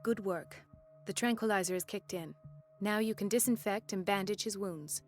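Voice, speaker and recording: An actress playing a composed, caring and determined hospital doctor in a video game, with a measured clinical delivery. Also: female voice, mid-range voice, soft background music, natural voice. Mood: reassuring. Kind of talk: video game cutscene acting.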